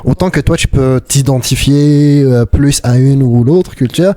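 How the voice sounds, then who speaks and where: A man's voice: mid-range voice, unhurried, warm tone. A young man hosting a podcast, talking in a thoughtful, casual way and often restarting his sentences. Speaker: podcast host. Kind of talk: casual chat.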